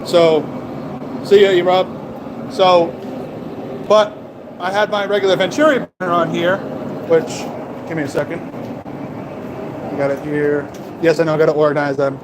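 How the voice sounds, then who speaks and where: Man, high voice, rambling and jokey, casual shop talk, small garage, loud blower roar behind. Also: little pitch movement, unhurried, pauses often, background noise. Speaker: blacksmith streamer.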